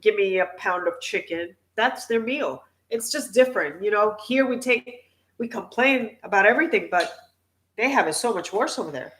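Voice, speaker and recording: A woman's voice: medium pitch, lively intonation, normal speed, fairly bright tone. A woman co-hosting a casual live talk show, candidly telling personal stories. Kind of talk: casual live-stream chat.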